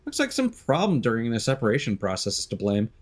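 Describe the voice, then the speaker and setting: A man's voice: medium pitch, huge pitch swings, fast talker. A man giving casual gameplay commentary as a streamer, muttering to himself and reading in-game notes aloud.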